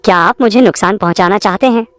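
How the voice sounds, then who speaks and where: A woman's voice: mid-range voice, fast talker, fairly bright tone, lightly acted. A woman voicing a brave, curious young male adventurer in a horror cartoon, with an earnest manner. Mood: frightened, questioning.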